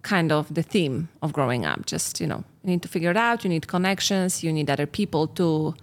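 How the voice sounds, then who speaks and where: A woman in her forties from Poland, speaking with a Polish accent in a low voice. She talks earnestly and reflectively, her phrasing slightly non-native, as a relationship coach in a relaxed podcast chat. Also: fairly quick. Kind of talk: casual podcast conversation.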